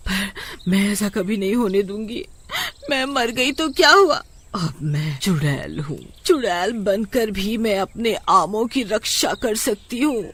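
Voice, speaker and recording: A woman's voice: mid-range voice, fairly bright tone, slightly rough, heavily acted. A woman voicing a vengeful, possessive village witch ghost, dramatic and menacing, in a cartoon horror story. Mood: defiant resolve.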